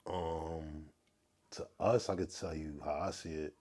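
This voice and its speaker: A man's voice: rumbling low, fairly even intonation, unhurried, warm tone, slightly rough. A man, a rapper guesting on a podcast, talking in a loose, slangy, rambling way. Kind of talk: casual conversation.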